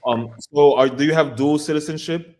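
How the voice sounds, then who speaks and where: A man's voice: on the high side, moderate pace, darkish tone. A man hosting an interview who asks rambling questions and searches for his words as he goes.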